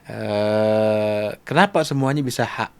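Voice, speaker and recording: A man's voice: lowish voice, slow, smooth. A middle-aged man hosting a casual interview, chatting informally and checking in with tag words. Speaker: podcast host.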